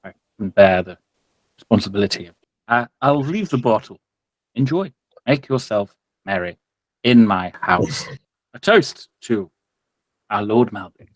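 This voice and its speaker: A man's voice: lowish voice, lively intonation, measured pace, long pauses, dry recording, rough recording. A man voicing a genial, sly priest in a tabletop game, speaking with formal, unctuous courtesy. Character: genial, sly priest pressing wine on visitors.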